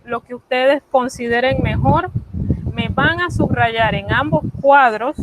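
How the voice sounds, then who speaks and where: A woman's voice: high-pitched, measured pace. A woman, teaching an online French class and patiently repeating the key points. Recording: loud background noise.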